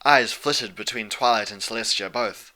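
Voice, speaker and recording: A man's voice: fairly low pitch, moderate pace, pushed and bright. A man reading scripted story narration as an audiobook narrator.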